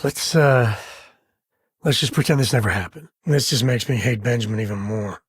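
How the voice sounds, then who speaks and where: A roguish spacefaring ranger in a video game, mid-pitched and heavily husky. He talks in a folksy, wry way, like a protective father who has seen the frontier. The sound is dry and close, with no room on it. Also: normal speed, no room sound. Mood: easygoing, candid. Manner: folksy, wry, casual drawl of words. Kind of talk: video game voice acting, short dialogue lines.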